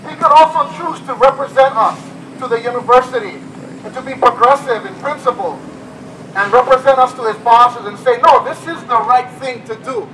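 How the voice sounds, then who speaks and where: A man's voice: very high voice, moderate pace. Impassioned man at an outdoor rally. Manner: impassioned, repeats phrases, rising to shouts. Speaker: protest speaker. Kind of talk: rally speech with bullhorn. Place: outdoor campus rally by a painted wall. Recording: distant phone recording outdoors with noise.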